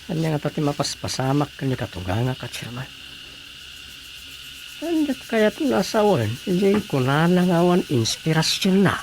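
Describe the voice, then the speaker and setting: A man's voice: fairly high pitch, very animated, normal speed, noisy background. A man with an Ilocano accent playing a grumbling village official who mutters and muses to himself in a radio comedy drama. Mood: pondering, grumbling.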